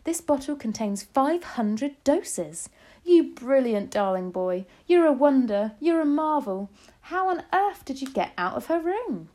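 A woman's voice: high-pitched, very animated, moderate pace, dark tone, voice pushed up. A woman voicing a loving, composed old grandmother in a storybook reading. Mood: relieved, adoring. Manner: affectionate, composed.

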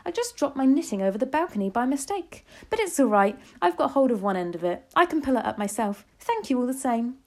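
A woman's voice: sits high, sing-song, normal speed, warm tone, voice pushed up. A woman voicing a loving, composed old grandmother in a storybook reading. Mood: coolly polite. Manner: affectionate, composed.

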